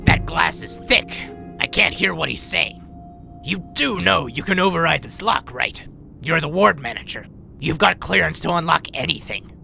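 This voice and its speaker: Guy as a gruff bossy robot medic, highish but super croaky, sci-fi audio drama. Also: expressive, normal speed, pushed and bright, faint music behind, exaggerated voice. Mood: urgent. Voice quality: gravelly. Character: gruff, urgent, commanding male robot medic.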